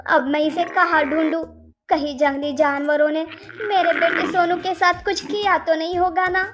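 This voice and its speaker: A woman's voice: very high voice, steady tone, moderate pace, noisy background, pitched above the performer's own voice. A woman voicing a worried, loving mother camel in an animal story, motherly and exclamatory, with faint music underneath. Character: worried, loving mother camel scolding and praising her calf.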